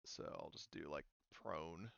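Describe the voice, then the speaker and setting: A man's voice: rumbling low, unhurried, vocal fry, fairly bright tone, no echo, highs cut off. A man running a tabletop game as game master, casually narrating turns and rules, hesitantly thinking aloud as he goes.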